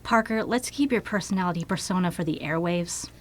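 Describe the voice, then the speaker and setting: A woman's voice: on the low side, a little fast, slight background noise, lightly acted. A woman playing a curt community radio operations director, matter-of-fact and dry. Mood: curt, businesslike.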